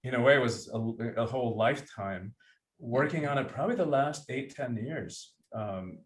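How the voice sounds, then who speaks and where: A man's voice: mid-range voice, animated, normal speed. Reflective man in an echoey room. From Cuba. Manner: reflective, earnest, searching for words. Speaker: guest author and breathwork teacher. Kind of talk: conversational interview.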